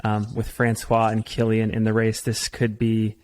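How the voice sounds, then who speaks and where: A male podcast host leads a casual interview with a level, steady delivery and little rise or fall. His questions wander a bit before landing, in an easygoing way. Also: on the low side, moderate pace. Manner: easygoing, asks long rambling questions.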